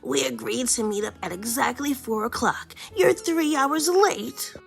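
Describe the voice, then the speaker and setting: A woman voicing a grumpy, fuming male grape who scolds his friend, in an animated storybook reading. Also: high voice, measured pace, creaky, full character voice. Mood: indignant. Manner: indignant, scolding.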